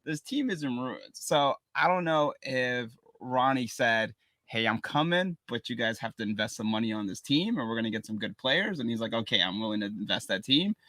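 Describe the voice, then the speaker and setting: A man's voice: mid-range voice, lively intonation, moderate pace, pushed and bright, no echo. Man talking soccer, very dry close sound. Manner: opinionated, hedges with "in my opinion". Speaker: podcast co-host. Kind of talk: casual sports chat. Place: small home studio.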